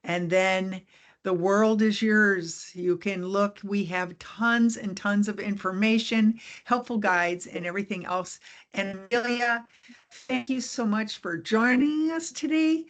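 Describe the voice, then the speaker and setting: A woman hosting a casual podcast interview, upbeat and encouraging, with quick asides. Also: mid-range voice. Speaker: podcast host.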